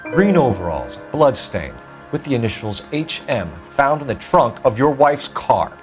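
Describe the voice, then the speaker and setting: A man's voice: mid-range voice, big pitch swings, measured pace, faint music behind. A man playing an earnest, driven 1940s police detective in a crime video game, speaking in a clipped, insistent, formal way. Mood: accusing. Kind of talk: dramatic period crime game acting.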